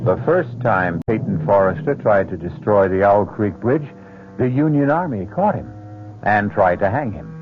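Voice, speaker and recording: An actor as a grave, ironic host narrating an old-time radio mystery in a deliberate, portentous way. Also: male voice, on the low side, animated, natural voice. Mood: wry, ominous. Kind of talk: dramatic scripted radio narration. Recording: tinny old radio recording, music underneath.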